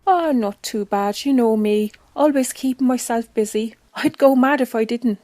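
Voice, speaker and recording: A woman's voice: fairly high pitch, normal speed, warm tone, dry recording, close to an everyday voice. A woman voicing the affectionate, chatty ghost of a grandmother in a dramatised spoken story. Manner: affectionate, doting. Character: dead grandmother's ghost on the phone, fond and chatty.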